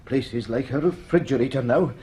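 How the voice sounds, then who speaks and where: An actor playing a concerned, brisk man in a radio drama. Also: mid-range voice, warm tone, natural voice. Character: concerned, brisk Scottish man, colleague at the station.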